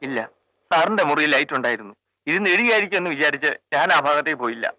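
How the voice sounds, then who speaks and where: A man's voice: a little high, lively intonation, fast talker, bright, forceful tone, no echo, rough recording. An older actor playing a frightened old male servant being questioned, in a 1980s film drama with a pleading manner. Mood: nervous.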